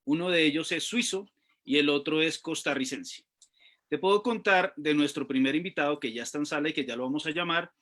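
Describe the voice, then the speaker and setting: Bright, slow-spoken male radio host. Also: fairly high pitch. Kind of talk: radio magazine hosting.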